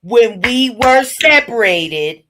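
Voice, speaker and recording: A woman co-hosting a live-stream discussion, speaking bluntly in a relaxed way. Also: fairly high pitch, slow pace. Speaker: co-host.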